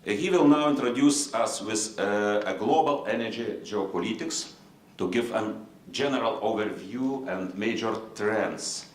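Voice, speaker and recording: A man with a Lithuanian accent moderating a conference panel in formal, halting English, with many fillers and restarts. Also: on the low side, reverberant, a little hiss or hum. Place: conference room.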